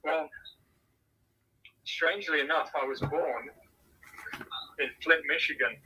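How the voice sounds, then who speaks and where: A man with an English accent, a missions pastor, answering interview questions in a measured way and often repeating words as he thinks. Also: fairly high pitch, sharp tone, slight background noise, poor audio. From England. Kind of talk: casual phone interview answers.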